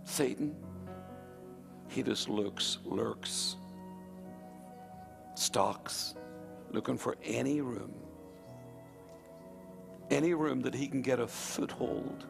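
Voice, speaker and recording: A man's voice: medium pitch, extremely slow, creaky, long pauses. A man preaching a live sermon to a congregation, with emphatic, fervent repetition. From Northern Ireland. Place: church hall with a live congregation. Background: music.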